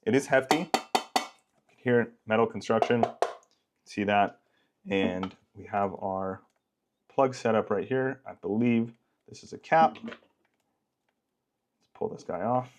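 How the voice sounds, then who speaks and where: Low-voiced man casually presenting an unboxing. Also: unhurried, long pauses, background noise. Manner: informal, explanatory. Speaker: product reviewer.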